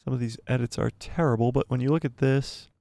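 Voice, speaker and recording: Hoarse man chatting on a live stream. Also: mid-range voice, fairly even intonation, quick pace, warm tone, no echo. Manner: rambling, repeats himself, thinks aloud. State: losing his voice, coughing. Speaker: livestream host. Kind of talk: casual live-stream chat reading viewer comments.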